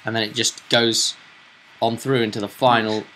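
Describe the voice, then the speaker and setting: A man's voice: on the low side, little pitch movement, moderate pace, sharp tone, low-quality recording. A man casually recapping a tabletop roleplaying session as game master, with a storyteller's phrasing and tag questions.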